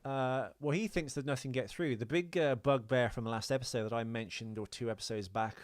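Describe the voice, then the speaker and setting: A man's voice: mid-range voice, a little fast, no echo, clean studio sound. A man with an English accent chatting casually as a podcast co-host, in a rambling, blunt way. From England. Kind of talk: casual film trivia chat.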